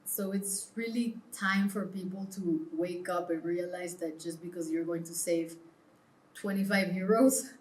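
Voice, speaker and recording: A woman's voice: on the low side, steady tone, measured pace, a little mellow, slight background noise. A woman from Peru speaking as an interview guest, explaining her views earnestly in a conversational way. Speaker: interview guest, clothing brand founder. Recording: roomy, echoing sound.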